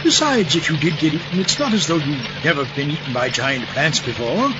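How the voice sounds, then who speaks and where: A man's voice: on the high side, expressive, a little fast, natural voice. A man playing a giddy, childish and reckless mad scientist with theatrical petulance in a comic audio drama. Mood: breezy, dismissive. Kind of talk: comedic audio drama acting. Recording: dull audio, noisy vehicle ambience.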